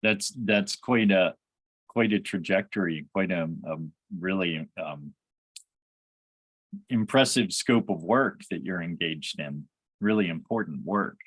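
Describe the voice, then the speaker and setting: A man hosting an interview, halting and often restarting his phrases. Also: sits low, slightly rough, no echo. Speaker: podcast host. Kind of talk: conversational interview.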